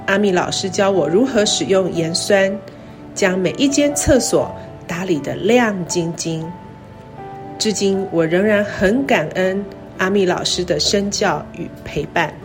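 A middle-aged woman with a Taiwanese Mandarin accent gives a scripted, reflective talk in measured, careful phrases. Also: medium pitch, animated. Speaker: university president. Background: background music.

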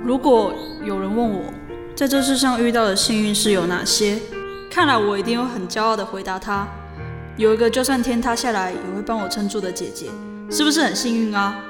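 A woman's voice: mid-range voice, fairly quick, music in the background, lightly acted. A young woman playing a sensitive, self-doubting younger sister in an audio drama, plaintive and confiding. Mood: tender, reflective. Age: young adult.

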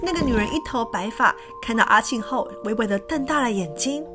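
A woman reading a children's story as narrator in an expressive, scripted storybook style. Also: fairly high pitch, fairly quick. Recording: music behind the voice.

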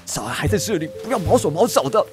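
Animated male voice, middle-aged tsundere, Taiwanese Mandarin, stubborn and scolding, audio drama romance, light music behind. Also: sits high, fast talker. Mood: flustered scolding. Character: stubborn, prickly, easily flustered middle-aged man, secretly fond.

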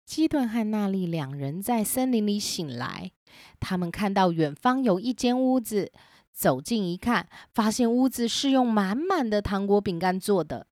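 A woman's voice: medium pitch, expressive, moderate pace, darkish tone, dry recording, studio quality. A woman host narrating a scripted children's story.

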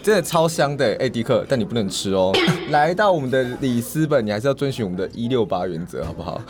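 A man's voice: mid-range voice, expressive, quick pace, music in the background. A man with a Taiwanese accent co-hosting in casual banter, teasing and mock-scolding, with noise in the recording. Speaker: radio co-host.

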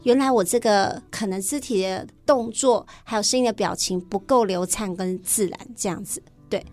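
A woman's voice: mid-range voice, moderate pace, a little mellow, soft background music. A woman teacher with a Taiwanese Mandarin accent, explaining things conversationally as an interview guest.

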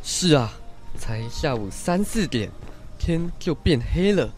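An actor playing an anxious, doubting older village man in a radio drama, with expressive sighs and exclamations, as in a biblical tale. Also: fairly high pitch, slow, soft background music. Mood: troubled, doubtful.

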